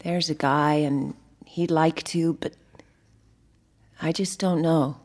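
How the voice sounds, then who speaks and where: An actress playing a shy, earnest, devout singer in an intimate audio drama, speaking in a hesitant, soft-spoken, sincere way. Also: female voice, low-pitched, steady tone, takes it slowly, exaggerated voice. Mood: earnest, unsure. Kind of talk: intimate two-person audio drama acting.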